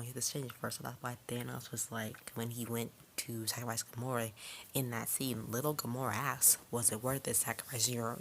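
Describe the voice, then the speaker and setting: A woman's voice: rumbling low, gravelly, slight background noise. A woman giving a casual, conversational movie review in an informal, rambling way, recorded at home. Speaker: video reviewer.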